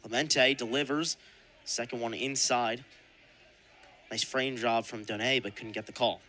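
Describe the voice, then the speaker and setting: A young man calling baseball play-by-play for radio in crisp announcer phrasing with punched-up calls. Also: medium pitch, steady tone, measured pace, sharp tone. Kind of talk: live radio sports commentary.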